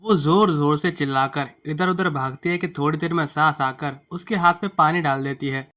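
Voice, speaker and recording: A man's voice: a little high, normal speed. A man narrating a scripted moral bedtime story. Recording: music bed under the voice.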